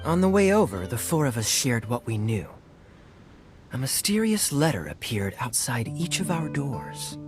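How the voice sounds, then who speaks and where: A man's voice: mid-range voice, very animated, normal speed, natural voice. Guy voicing a sly suave game adventurer, teasing, mid voice that swoops around, music underneath. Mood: wry explaining. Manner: smooth, teasing, theatrical. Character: suave, sly young gentleman adventurer, playfully sardonic. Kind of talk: video game cutscene acting. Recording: music playing behind the voice.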